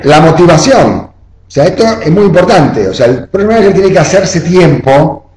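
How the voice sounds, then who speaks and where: A man's voice: on the high side, animated, moderate pace, darkish tone. A man giving an online class lecture, explaining step by step and often checking in with "¿eh?". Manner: explanatory, often adds "o sea" and "¿eh?". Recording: roomy echo.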